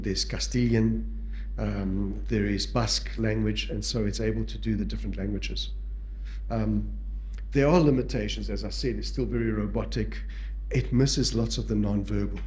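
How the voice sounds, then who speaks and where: A man from South Africa, whose first language is Portuguese, speaks with a South African accent as he gives a conference lecture on palliative care, measured and pausing often with fillers and restarts. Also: lowish voice, a little mellow, a little hiss or hum.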